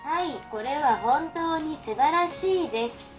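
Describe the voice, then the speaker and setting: High synthetic female assistant voice. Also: lively intonation, unhurried. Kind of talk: synthetic spoken replies. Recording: played from tablet speaker, music behind.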